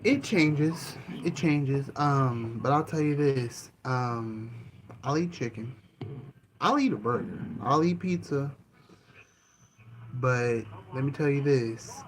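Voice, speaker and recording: A calm, easygoing man chats through a casual self-interview, pausing on frequent ums and starting phrases over. His voice sits at an ordinary middle pitch. A steady hiss of background ambience runs under the recording. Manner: calm, unhurried, many ums and restarts. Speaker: channel host and author. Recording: noticeable background ambience noise.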